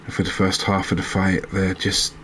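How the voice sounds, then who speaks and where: A man with a low voice and an extremely flat, even intonation gives quiet gameplay commentary. He muses unhurriedly, pausing as he searches for words. A faint layer of ambient noise sits behind him. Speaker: host of a gaming channel playing a fishing game.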